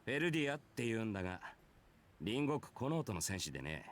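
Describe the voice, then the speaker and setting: A brash warrior recounts his life in a visual novel. He speaks in rough, casual phrases with a nonchalant air, moving quickly and with very varied intonation. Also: on the low side, very animated. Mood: casual, reminiscing. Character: brash, easygoing male warrior telling his own past. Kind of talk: Japanese visual novel voice acting.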